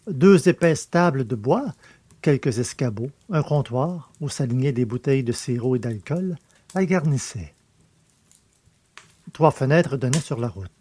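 A man's voice: medium pitch, dark tone, slight background noise. A man reading literary fiction as a scripted audiobook narrator.

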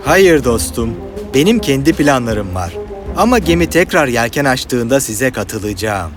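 A man's voice: mid-range voice, very animated, a little slow. A man voicing an earnest, scatterbrained, melodramatic poet in a cartoon fairy tale. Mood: determined. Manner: melodramatic, pleading. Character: earnest, dreamy, scatterbrained lovesick male poet. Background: music.

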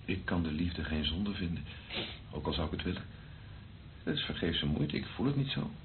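A troubled tender priest in a radio play, real deep voice, muffled noisy old recording. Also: male voice, expressive, normal speed. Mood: tender, defiant. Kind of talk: Dutch radio drama acting.

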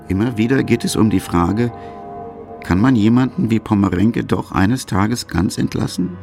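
Guy narrating a radio documentary, lowish creaky voice, faint music under. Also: lively intonation, moderate pace, warm tone.

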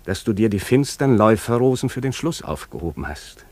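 An actor playing a melancholy, courteous prince in a literary radio play, speaking in a measured, formal, lyrical way. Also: male voice, fairly low pitch, sing-song, a little mellow, no echo. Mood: dreamy, intense. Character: melancholy aristocratic prince, courteous, dreamily commanding.

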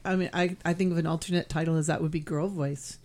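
A woman hosting a radio show, asking a guest questions in a halting way with many restarts. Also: low-pitched, fairly even intonation, darkish tone. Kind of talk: interviewing a guest.

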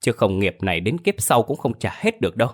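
A man's voice: low voice, moderate pace, close to an everyday voice. A man voicing an elderly father who is stern and reproachful yet tender toward his widowed daughter-in-law.

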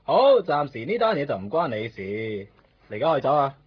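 A hot-headed police officer with a Hong Kong Cantonese accent. He speaks in a high, husky male voice with emphatic, blustering delivery. The radio drama recording is dull and band-limited. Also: big pitch swings, a little fast, full character voice. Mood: grudging, calmer. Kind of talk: 1990s radio drama acting.

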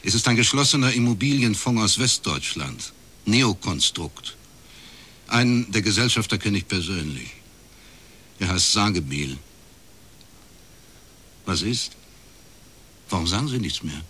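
A dry detective guy for a radio krimi, husky but bright and forward. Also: on the low side, animated, measured pace, long pauses, full character voice. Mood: matter-of-fact, pressing. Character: laconic male East Berlin academic turned private detective. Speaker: private detective narrator. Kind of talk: radio crime drama narration and dialogue.

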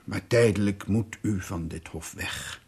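A troubled monarch of old Russia in a radio play. He is solemn and halting, with a low register and a husky grain to the voice. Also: darkish tone, exaggerated voice. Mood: regretful, grave. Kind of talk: radio drama acting.

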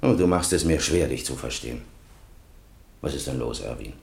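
An earnest lay defender in a radio courtroom play. His low voice rises and falls widely as he pleads and reproaches. The room is echoey. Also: lively intonation, normal speed, creaky, full character voice. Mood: earnest, disappointed. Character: earnest, exasperated male workmate acting as lay defender. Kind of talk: German radio crime drama acting. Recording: roomy, echoing courtroom sound.

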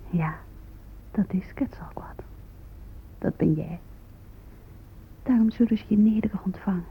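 Hushed, husky young woman. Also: medium pitch, measured pace. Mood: hushed, assured. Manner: hushed, breathy, half-whispered. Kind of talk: radio drama acting. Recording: muffled phone-like old radio play.

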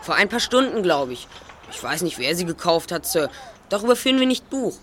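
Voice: mid-range voice, sing-song, a little fast, a bit bright, slight background noise. A young performer playing a self-assured teenage boy detective in a German audio drama, polite, articulate and slightly stilted. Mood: polite, evasive.

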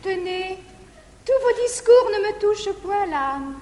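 A woman playing a naive young woman who coolly refuses her guardian, speaking verse softly in a 1959 live theatre recording. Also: very high voice, animated, takes it slowly, noisy background, exaggerated voice. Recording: 1959 live theatre recording, long reverb, faint audience.

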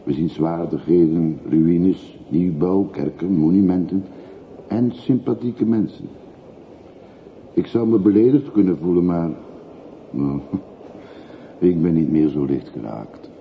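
An actor playing a talkative, obliging older railway porter in a radio play, chatty and deferential. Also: male voice, low-pitched, slow pace, pauses often. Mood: chatty, coaxing. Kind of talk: radio drama acting. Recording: muffled narrowband radio recording with hiss.

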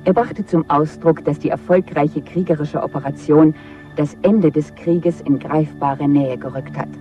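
An actor playing a male totalitarian party announcer in a radio drama, reading formal propaganda bulletins in a stiff, proclaiming way through a loudspeaker. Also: a little high, fairly even intonation, a little slow. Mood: solemn, proclaiming. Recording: distant, muffled radio sound with music.

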